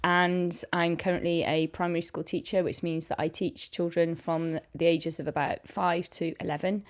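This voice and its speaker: A middle-aged woman from Cardiff with a Welsh accent, a guest telling family stories in a measured, steady way. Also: lowish voice. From Cardiff, Wales. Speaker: guest, primary school teacher. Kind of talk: casual family interview.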